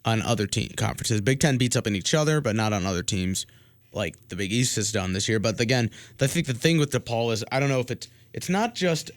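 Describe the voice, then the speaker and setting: An American man co-hosting a sports talk show, giving opinions in a casual, halting way. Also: medium pitch, animated, no echo.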